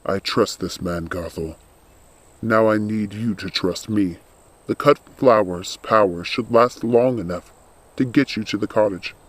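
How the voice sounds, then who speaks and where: Man playing a low, solemn undead servant. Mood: firm, protective. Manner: solemn, earnest, measured. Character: loyal undead skeletal male servant, grave, protective, tender.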